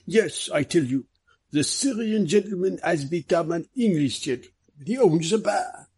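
Male reader as foreign male detective for an audiobook mystery: teasing, cryptic, quaint phrasing, husky tone. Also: high-pitched, expressive, normal speed, darkish tone, pitched above the performer's own voice, heavily acted. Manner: quaint, non-native phrasing.

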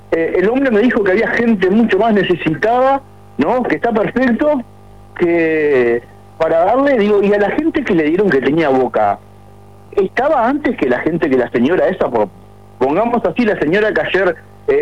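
Uruguayan guy in his 40s on a muffled phone line, fairly high voice, rambling and upset. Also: very animated, normal speed. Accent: Uruguayan Spanish. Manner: aggrieved, rambling with many restarts. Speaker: caller. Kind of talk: radio call-in complaint.

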